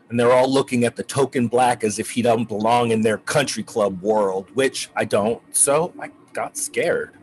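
Male, acting college kid around twenty, anxious and bitter, low-pitched, earnest stage-play delivery, faintly noisy recording. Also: lightly acted.

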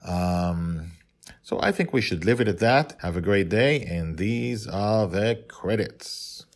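A man with a low voice acts as host and narrator of a serialized tale. He delivers it as storytelling, in the manner of an author reading his own work. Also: expressive, slow.

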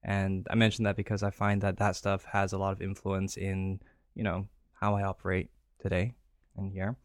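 A man's voice: low voice, completely flat delivery, moderate pace, no echo, studio quality. A man, telling stories casually as a podcast guest. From Canada and Greece. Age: 30s. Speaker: podcast guest, real estate investor. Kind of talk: casual conversational storytelling.

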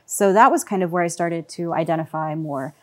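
A woman speaking in a relaxed panel discussion, thoughtful and searching for words, with frequent fillers. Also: on the low side, a little fast. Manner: reflective, many fillers like "you know" and "like". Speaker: panel guest.

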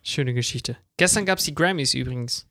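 A man's voice: mid-range voice, normal speed, sharp tone, no echo. A man co-hosting a podcast in casual, enthusiastic chat, mixing English words into his informal speech. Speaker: podcast co-host.

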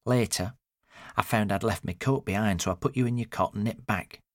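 A man's voice: on the low side, a little fast, no echo, studio quality, voice pushed up, lightly acted. A man voicing a fragile, sad older mother with a plaintive, self-blaming manner in an audiobook. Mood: indignant. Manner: plaintive, self-blaming, abruptly changing subject. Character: Eileen, fragile older mother, sad, agitated, wandering mind. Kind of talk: audiobook character dialogue.

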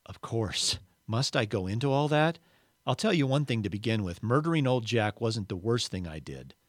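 Man reading as a calm, patient heavenly spirit. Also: mid-range voice, moderate pace, dry recording, clean studio sound. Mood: calm, confessing. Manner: calm, reassuring, plainspoken. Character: gentle, patient heavenly spirit, forgiven former murderer.

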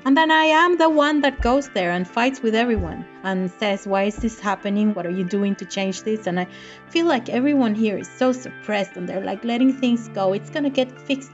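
A woman's voice: mid-range voice, highs cut off. A middle-aged woman from Costa Rica, a curator, speaking passionately and frankly in an interview. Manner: passionate, outspoken. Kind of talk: interview talk.

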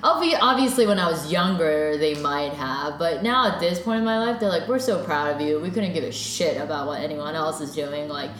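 A woman's voice: low-pitched, fairly quick, few pauses, faint music behind. A young American woman in her twenties, talking casually and chattily in an interview, full of "like" and "you know". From United States. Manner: chatty, filler-heavy, animated when quoting parents. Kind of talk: casual conversation. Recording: echoey room with background noise.

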